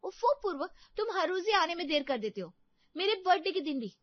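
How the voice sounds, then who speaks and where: A woman's voice: extremely high pitch, big pitch swings, brisk, no echo, lo-fi sound, voice pushed up. A woman voicing a spoiled, fickle college girlfriend in a cartoon story, whiny and dismissive. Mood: petulant.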